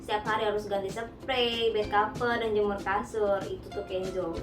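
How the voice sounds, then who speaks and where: A woman's voice: mid-range voice, flat delivery, normal speed. A young woman chatting casually to viewers, sharing tips about caring for her cat, mixing in English words and often calling the audience guys. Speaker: cat owner sharing pet care tips. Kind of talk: casual vlog talk to viewers. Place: home studio. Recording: echoey room, heard from a distance, music behind.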